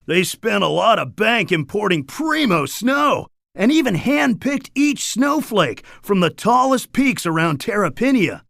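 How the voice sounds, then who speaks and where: Goofy surfer dude game creature, super animated n scratchy, chill braggy beach guide, dry studio sound. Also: male voice, high-pitched, a little slow, vocal fry, hardly any pauses, dry recording, exaggerated voice. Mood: laid-back, storytelling. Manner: surfer slang, exaggerated laid-back enthusiasm. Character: laid-back upbeat surfer dude cartoon creature, boastful beach guide. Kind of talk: animated game character dialogue.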